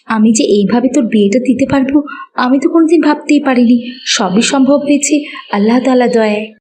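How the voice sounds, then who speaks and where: A burdened elder sister from a cartoon voiced by a woman, speaking high and softly mellow. She is gentle, devout and earnest, with noticeable noise behind her. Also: fairly quick, natural voice. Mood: tender, devout. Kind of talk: Islamic moral cartoon dialogue.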